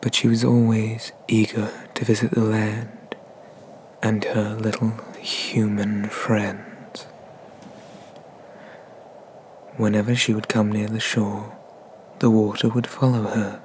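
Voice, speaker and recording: A man playing a haughty, vengeful dragon god in an ASMR roleplay, speaking with deliberate, disdainful menace and often slipping into whispers. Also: lowish voice, flat delivery, darkish tone, slight background noise, natural voice, rough recording. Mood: bitter, wistful.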